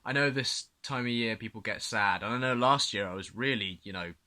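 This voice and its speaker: Excitable young man with a bright voice. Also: fairly low pitch, fairly quick. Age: young adult. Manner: excitable, earnest, repeats himself. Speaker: vlogger. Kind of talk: video diary to camera.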